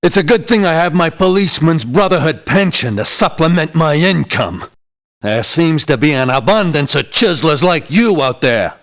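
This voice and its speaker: Sardonic ex-cop mercenary, lively pitch. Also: male voice, moderate pace. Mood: sardonic, threatening. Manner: sardonic, tough-talking. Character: gruff, sardonic ex-policeman mercenary man, streetwise and stubborn. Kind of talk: video game character voice acting.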